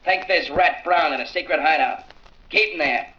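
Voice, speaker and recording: An actor playing an excitable, bossy teenage American street gang leader, slangy and eager, in 1940s radio style. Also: male voice, a little high, normal speed, natural voice. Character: excitable teenage boy leading a street gang, hero-worshipping. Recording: muffled old 1940s radio recording.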